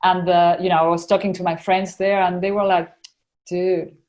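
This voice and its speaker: A woman's voice: on the low side, level tone, normal speed, a little mellow. A young woman with an Argentinian accent, telling her story as a podcast guest in casual conversation, full of fillers and restarts. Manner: chatty, many fillers and restarts.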